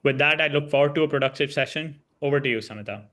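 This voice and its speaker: A man's voice: on the high side, fast talker, pushed and bright. A man introducing the panelists, reading out their introductions in a formal, scripted way.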